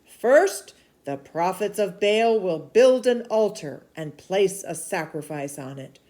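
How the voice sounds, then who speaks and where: A woman voicing a bold, commanding male prophet in a children's storybook, with loud, emphatic delivery. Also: medium pitch, lively intonation, slow.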